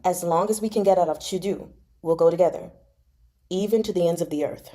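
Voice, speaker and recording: A woman's voice: lowish voice, a little fast, dark tone, lightly acted. A woman reading the part of a devoted, restless young nobleman in a storybook manner. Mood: earnest. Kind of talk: expressive audiobook-style novel reading.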